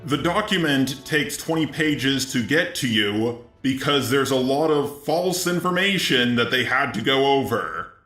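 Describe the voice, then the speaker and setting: A synthetic male robot voice delivers scripted commentary at a middling pitch. The sound is echoey, with music playing behind it. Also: animated, measured pace, hardly any pauses, bright, forceful tone. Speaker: commentary video host.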